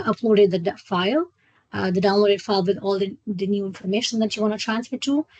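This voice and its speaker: A woman presenting a live software walkthrough as a trainer, explaining step by step in a halting way. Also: medium pitch, no room sound, rough recording. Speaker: software trainer hosting a webinar. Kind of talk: live screen-share product walkthrough.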